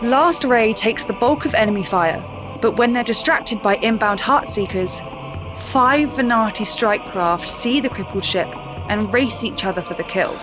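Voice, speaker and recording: A woman performing composed, measured scripted narration of a fleet battle for a sci-fi audio drama. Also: on the high side, music in the background, close to an everyday voice, rough recording. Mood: composed, serious.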